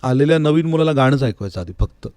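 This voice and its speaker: A man's voice: medium pitch, expressive, quick pace, a little mellow, dry recording. A man speaking as an interview guest and music teacher, explaining things earnestly in a reflective, conversational style. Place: recording studio. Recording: dry, close studio sound.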